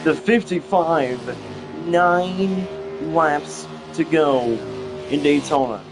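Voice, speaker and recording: A young male commentator calling a simulated stock car race play-by-play, halting and often repeating himself, with engine noise and music behind him. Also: fairly high pitch, expressive, creaky. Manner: halting, repeats phrases, restarts.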